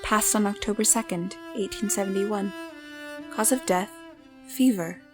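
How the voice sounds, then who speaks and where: An actress playing an earnest young woman of the 1870s in an audio drama, gentle and careful with formal wording. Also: mid-range voice, unhurried, over music, natural voice. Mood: measured narration. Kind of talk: scripted audio drama with first-person narration.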